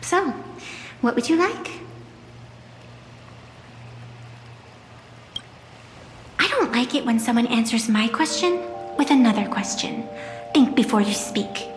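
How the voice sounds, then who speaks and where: A woman's voice: fairly high pitch, animated, normal speed, close to an everyday voice. A woman playing a poised, playful and mysterious young female guide in a video game, speaking formally with teasing, faintly haughty theatricality. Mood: amused, haughty. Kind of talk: scripted video game character acting. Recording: echoey, long reverb, music behind.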